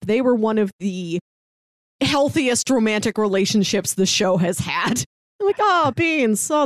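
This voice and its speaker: A woman's voice: on the high side, no room sound. Chatty woman podcaster, close dry sound. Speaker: podcast host. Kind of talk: casual chat discussing a TV series.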